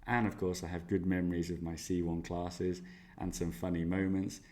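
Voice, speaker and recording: A man's voice: deep voice, little pitch movement, normal speed, warm tone, lightly acted. A man role-playing a cheerful, self-mocking young male student with a Spanish accent, answering interview questions in an upbeat, jokey way. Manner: upbeat, jokey, boastful asides.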